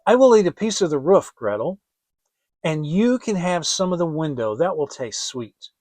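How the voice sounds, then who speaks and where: A man voicing an eager, hungry young boy in a storybook, with a brisk manner. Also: a little high, big pitch swings, a little mellow, no echo. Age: child.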